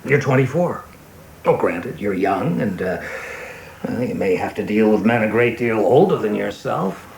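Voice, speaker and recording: A man playing an older senior government official in a radio play, measured, formal and authoritative in an avuncular way. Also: fairly low pitch, animated, a little mellow. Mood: firm, persuasive. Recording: roomy echo with clear background noise.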